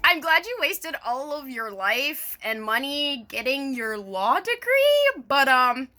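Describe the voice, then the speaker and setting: A woman's voice: high voice, sing-song, slow, sharp tone. A woman doing a mock-formal dramatic reading with casual, sarcastic, sweary asides in a theatrical manner. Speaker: online video creator doing a dramatic reading.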